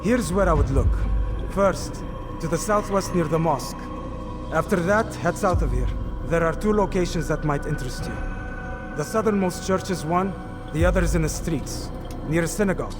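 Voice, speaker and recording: An actor playing a dry, sardonic, wary informant in a video game, giving formal briefings. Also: male voice, a little high, normal speed, music in the background, natural voice. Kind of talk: dramatic video game voice acting.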